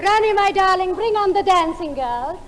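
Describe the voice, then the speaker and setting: A woman's voice: very high voice, animated, moderate pace, loud music behind the voice. A woman playing a glamorous, vain, flirtatious film star in a stage comedy sketch, speaking in a theatrical, grandly teasing way. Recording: noisy 1950s theatre recording, long hall reverb.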